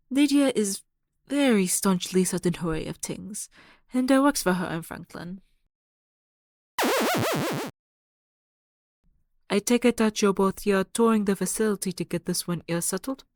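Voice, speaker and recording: A woman acting a scholarly professor in a fantasy audio drama. She is mid-pitched, polite and formal, composed with a gently teasing streak, over faint noise. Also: lively intonation, normal speed, natural voice. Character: courteous, gently teasing female professor of arcane science.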